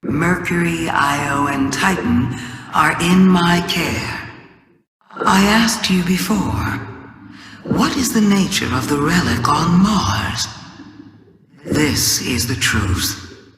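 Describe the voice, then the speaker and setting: The villainess of a fantasy game, taunting intruders with slow, theatrical riddles down the long stone halls of her throne world. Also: deep voice, animated, fairly bright tone, exaggerated voice. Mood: grave, grandiose. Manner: theatrical, slow, savoring each word. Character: scheming alien witch queen, taunting, theatrical riddler. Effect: cavernous reverb. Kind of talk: dramatic video game monologue. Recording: long reverberant hall echo.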